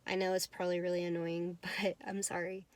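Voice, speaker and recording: A woman with a high, girlish, nasal voice from a stuffed-up nose, talking casually to camera with many fillers and apologies. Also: flat delivery, a little fast. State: congested from sneezing. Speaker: vlogger. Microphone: phone.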